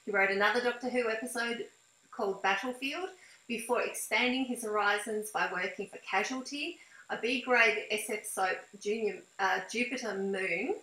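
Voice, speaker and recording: A woman's voice: on the high side, moderate pace, sharp tone. A middle-aged woman hosting an interview over a video call, moving between prepared introduction and conversational questions. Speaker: interviewer, host. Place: indoor room at home, video call. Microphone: device mic on a video call. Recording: echoey room on video call.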